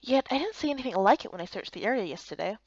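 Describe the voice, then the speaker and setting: A woman with a fairly high voice reads a visual novel story expressively. Her pitch moves around a lot, and the sound is very dry and a little dull. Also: animated, very fast, no echo. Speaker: voice-over reader of a visual novel. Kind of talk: expressive story narration and character reading.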